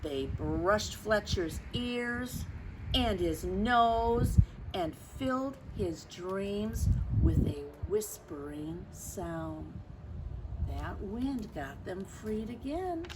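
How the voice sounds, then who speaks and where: A woman reading a picture book aloud to children, expressive and dramatic, stretching words and chatting to her young listeners. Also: medium pitch, drawn-out words. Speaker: storyteller for children. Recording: clearly noisy background.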